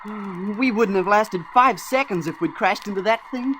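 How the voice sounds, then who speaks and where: On a faintly noisy old radio recording, a woman plays a young boy space adventurer. The medium-pitched voice is matter-of-fact and colloquial, practical and plain-spoken. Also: normal speed, fairly bright tone, lightly acted. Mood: relieved, nagging. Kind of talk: old-time radio drama acting.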